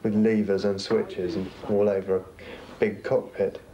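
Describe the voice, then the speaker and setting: A man giving a reflective documentary interview, speaking haltingly with pauses in the middle of his phrases. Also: on the low side, little pitch movement, dark tone, rough recording. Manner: halting, pausing mid-phrase.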